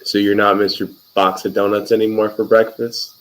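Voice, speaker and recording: A man's voice: fairly low pitch, flat delivery, normal speed, a bit bright, slight background noise. A man hosting an interview, chatty and joking, telling loose profane stories in a casual conversation. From Wisconsin. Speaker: interviewer, podcast host.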